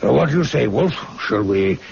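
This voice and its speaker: An actor playing a jovial, crafty, avuncular senior doctor with a gravelly, creaky voice and hearty, repetitive phrasing, in an old-fashioned radio drama. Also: male voice, medium pitch, animated, normal speed, darkish tone. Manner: hearty, repeats phrases, chuckling. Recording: dull, noisy old radio recording.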